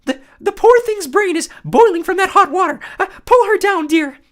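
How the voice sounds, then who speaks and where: A man's voice: animated, normal speed, fairly bright tone. A stammering panicky satyr boy. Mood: panicked pleading. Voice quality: high, squeaky, stammering.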